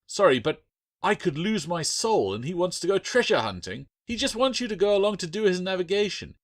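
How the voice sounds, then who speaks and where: Give the voice of a defiant orphan lad in a sea-fantasy audiobook, acted by a man. He sounds pitched up from a narrator's tone, bitter and accusing, full of suspicion and sarcasm. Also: very animated, brisk. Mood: indignant. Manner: indignant, accusing.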